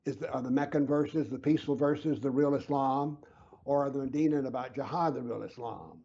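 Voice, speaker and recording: A man being interviewed who explains his views earnestly and emphatically, sometimes dropping to a low whisper to stress a point. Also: a little fast, warm tone, husky, dull audio. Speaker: interview guest, author.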